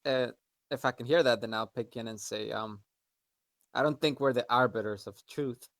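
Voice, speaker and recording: A man's voice: medium pitch, moderate pace, fairly bright tone, no echo, clean studio sound. A man playing a tabletop role-playing game, chatting casually and speaking for his character.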